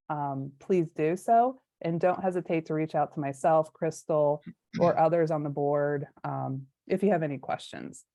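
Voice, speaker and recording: Low, mellow-voiced woman on a video call. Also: no room sound. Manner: earnest, with many uhs and ums. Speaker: foundation board member. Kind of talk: short organization overview on a webinar. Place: her home in the evening. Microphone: computer mic on a video call.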